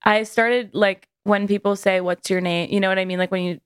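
A woman co-hosting a casual podcast, playful with quick asides and casual swearing. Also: mid-range voice, fairly even intonation, clean tone, no echo, clean studio sound. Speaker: podcast co-host.

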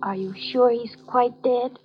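A woman voicing an eerie, cruel child who speaks in a hushed, cold whisper. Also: a little high, fairly even intonation, measured pace, faint music behind, lightly acted. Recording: dull lo-fi old radio recording from off-mic.

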